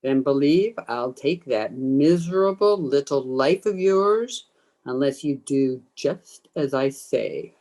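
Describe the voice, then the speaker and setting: A woman's voice: rumbling low, lively intonation, warm tone, full character voice. A woman playing a menacing, commanding undead ghost hungry for blood, in old time radio horror style. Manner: slow, commanding, taunting. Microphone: video call.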